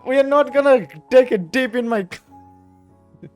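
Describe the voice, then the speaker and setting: A game streamer giving casual, joking commentary in a halting way, often repeating words. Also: sits high, darkish tone. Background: game music.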